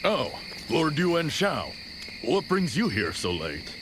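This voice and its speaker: An actor playing a courteous, wry retainer in a video game, teasing gently in formal speech. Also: male voice, a little high, huge pitch swings, slow, full character voice. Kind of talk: dramatic video game cutscene acting. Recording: insects chirping, clear background noise.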